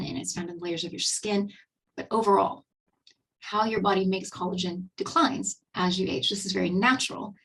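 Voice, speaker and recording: A husky-voiced Texan woman in her fifties chats through a relaxed online presentation, upbeat and full of fillers and restarts. Her voice sits a little away from the microphone, as on a video call. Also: mid-range voice, distant mic, poor audio. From Texas, USA. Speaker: webinar host and skincare presenter. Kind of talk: casual webinar presentation.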